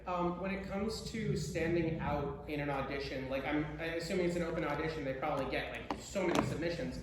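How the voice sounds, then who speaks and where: Higher-voiced man in a very echoey hall. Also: a little fast. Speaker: audience member asking a question. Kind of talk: casual audience question. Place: large indoor convention panel room. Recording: distant, very echoey hall, faint noise.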